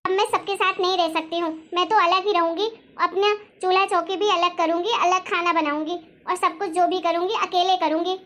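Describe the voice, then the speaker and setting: Woman playing very high-pitched, sharp-tongued young wife. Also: fairly even intonation, fairly quick, few pauses. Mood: defiant, demanding. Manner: scolding, sarcastic, argumentative. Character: angry, sharp-tongued young wife demanding her share. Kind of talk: acted dialogue in Hindi family story.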